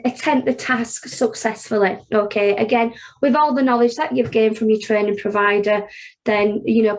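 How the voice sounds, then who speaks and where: A woman with a Yorkshire accent teaches an online accounting revision class. Her voice sits a touch high, and she speaks in a chatty, informal way. She checks in often with "okay" and fills pauses with "um". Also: darkish tone, rough recording. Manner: chatty, frequent "okay" and "um", talks students through steps. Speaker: accounting tutor.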